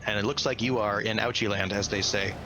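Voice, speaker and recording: A man's voice: on the low side, fairly even intonation, fairly quick, noisy background. A man working as game master, narrating a tabletop game with an enthusiastic, slangy and dramatic delivery. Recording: music behind the voice. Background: background music.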